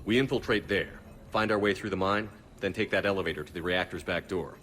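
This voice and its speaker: An actor playing a steady, principled military commander in a video game, level-headed and plain-spoken. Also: male voice, sits low, brisk, slight background noise. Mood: resolute.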